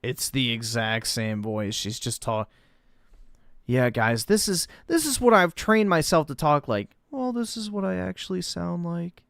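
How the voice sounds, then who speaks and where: Slow, gravelly man with deadpan sarcasm. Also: on the high side, dry recording, clean studio sound. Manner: blunt, crude and sarcastic. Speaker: streamer reacting to clips. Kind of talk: casual stream commentary.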